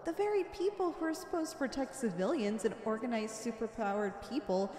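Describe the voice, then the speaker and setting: A woman's voice: fairly high pitch, expressive, fairly quick, a little mellow, natural voice. A woman playing a flirtatious, teasing supervillain with a streak of righteous anger, in a scripted ASMR roleplay. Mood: indignant, impassioned. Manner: playful, teasing, theatrical. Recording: echoey hall-like reverb.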